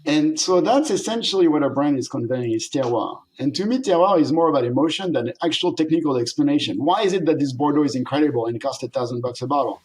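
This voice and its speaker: French man talking animatedly, fairly high voice. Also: quick pace, few pauses, rough recording. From France. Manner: animated, laughs often, acts out quoted voices. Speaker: guest, company founder. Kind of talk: casual interview conversation.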